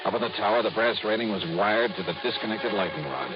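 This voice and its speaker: An actor playing a tough, wry insurance investigator, narrating tersely and dramatically in old radio detective style. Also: male voice, on the low side, quick pace, natural voice. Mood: grim, tense. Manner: terse, dramatic, hard-boiled. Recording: muffled vintage radio recording.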